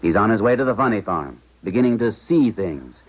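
An actor playing a grieving, unsettled scientist, earnest and troubled, in a 1970s radio drama. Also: male voice, on the low side, sing-song, a little fast. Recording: dull 1970s radio recording.

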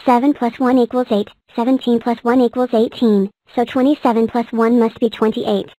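A woman with a fairly high voice reads cartoon lines and explains sums. The recording is dry and close. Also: flat delivery, normal speed, dark tone, no echo, low-quality recording. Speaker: voice of number characters. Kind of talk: fan cartoon voice-over.

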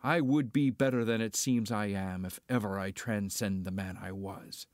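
An actor playing a cocky, roguish smuggler pilot, declaiming archaic verse in an amateur Shakespearean reading. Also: male voice, fairly low pitch, steady tone, normal speed, no echo, clean studio sound, lightly acted. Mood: brooding.

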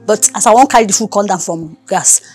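Quick-talking, cheeky Nigerian housemaid, played by an actress. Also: female voice, medium pitch, darkish tone, soft background music, natural voice. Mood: stubborn, excusing. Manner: unbothered, complaining, argumentative. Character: lazy, cheeky housemaid full of excuses. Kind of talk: comic screen drama acting in Pidgin.

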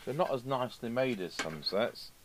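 A man's voice: medium pitch, expressive, moderate pace, heavy background noise. A middle-aged man giving casual hands-on repair commentary, musing aloud to himself as he works. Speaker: radio repair video host. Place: indoor workbench, overhead camera.

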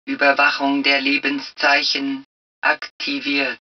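A woman voicing the calm computer of a protective suit in a video game, making impersonal, clipped, measured announcements. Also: rumbling low, far from the mic, highs cut off, full character voice.

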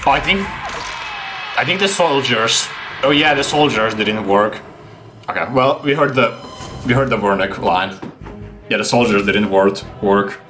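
Young male streamer, casual reaction commentary, animated mid-range voice over game music. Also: lowish voice, unhurried, long pauses. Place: small room at home, indoors.

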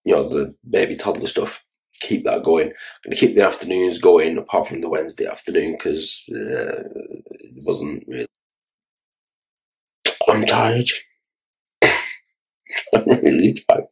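A tired Irish man in his forties with a Dublin accent, talking casually and haltingly to his phone camera in a small room. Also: deep voice, expressive, creaky, poor audio. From Ireland. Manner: rambling, halting, self-mocking. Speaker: children's entertainer and vlogger. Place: small room indoors, in an office chair. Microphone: phone camera held close.

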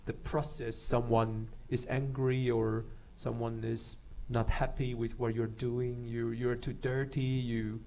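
Halting male panelist, monotone voice, big dark hall. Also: mid-range voice. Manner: halting, non-native phrasing. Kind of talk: panel discussion. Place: large dark auditorium stage.

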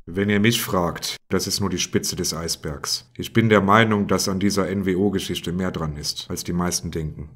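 A man's voice: sits low, steady tone, moderate pace, hardly any pauses, fairly bright tone. A man playing a mentor figure in a detective radio play parody. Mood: measured.

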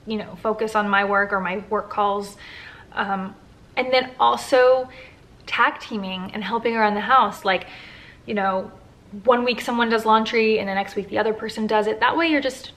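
A woman's voice: on the high side, a bit bright. Chatty woman in her thirties, filmed at home. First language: English. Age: 30s. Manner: candid, chatty, frequent "like" fillers. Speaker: vlogger answering viewer questions. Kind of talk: casual Q&A chat to camera. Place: living room at home, indoors. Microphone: camera at close range.

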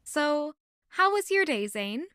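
A woman's voice: high-pitched, sing-song, slow pace, a bit bright, no echo, clean studio sound, exaggerated voice. A woman playing a sweet, curious young noble lady in an audio drama, polite and inquisitive.

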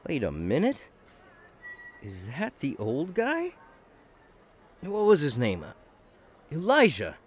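A man's voice: fairly high pitch, huge pitch swings, takes it slowly, long pauses, faint music behind, heavily acted. An actor playing a bitter, lonely man whom everyone ignores, speaking indignantly and pleading in a radio drama. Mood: puzzled.

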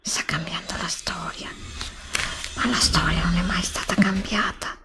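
A woman acting a frightened, anguished young student in a whispered ASMR roleplay, breathy, halting and dramatic, repeating herself, close to the microphone. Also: lowish voice, steady tone, voice pushed down, lightly acted. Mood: hushed, troubled. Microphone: close desktop microphone.

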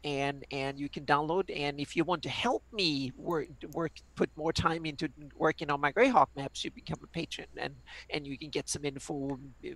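A woman's voice: low-pitched, steady tone, normal speed, few pauses, no echo, rough recording. A woman in her fifties from Sweden with a Swedish accent, chatting on a video call as a guest player and repeating words as she restarts. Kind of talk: casual chat on a game stream.

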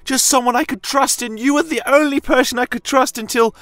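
A man voicing a frightened, gentle satyr mother who pleads haltingly in a fantasy audio story. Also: very high-pitched, fairly even intonation, dry recording, pitched above the performer's own voice. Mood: frightened, apologetic. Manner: halting, stammering. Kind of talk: fantasy audio drama acting.

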